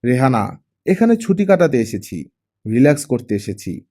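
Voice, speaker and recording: Audiobook role: proud older ex-police boss and father, performed by a man, gruff, mellow-toned, used to command. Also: mid-range voice, very animated, moderate pace. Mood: demanding.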